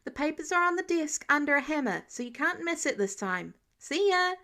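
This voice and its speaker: A woman plays a teenage boy working as an intern in a narrated story. The voice sits fairly high. He is cheeky yet earnest, with a touch of youthful awkwardness. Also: expressive, normal speed, bright, forceful tone, exaggerated voice. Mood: breezy.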